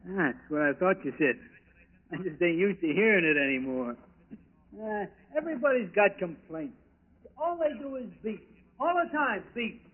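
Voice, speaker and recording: A high raspy american diner grump, folksy, animated, tinny reverby 40s radio. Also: male voice, moderate pace. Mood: grumbling. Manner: colloquial, griping. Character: folksy, grumbling roadside lunch counterman. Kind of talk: 1940s radio acting. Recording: phone-like old radio recording, long reverb.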